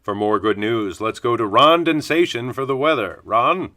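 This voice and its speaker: A man's voice: medium pitch, expressive, normal speed, pushed and bright. A man playing a chipper morning news anchor with a polished, upbeat broadcast delivery in a parody newscast.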